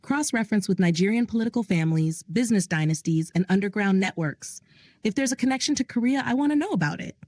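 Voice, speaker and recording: A male crime boss gives orders in a steady, measured way, read by a woman narrator. He stays controlled and commanding throughout, in a dry, close story reading. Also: medium pitch, dry recording, close to an everyday voice. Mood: calm. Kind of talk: audio drama reading.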